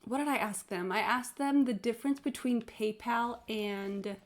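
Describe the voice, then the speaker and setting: A woman's voice: fairly high pitch, normal speed. A woman livestreamer chatting casually during a game, telling rambling anecdotes in a blunt, sweary way full of filler "like"s. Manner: blunt, sweary, animated storyteller, frequent "like". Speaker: live streamer. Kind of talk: casual gaming stream chat, rambling anecdotes. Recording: game music playing behind the voice. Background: video game music.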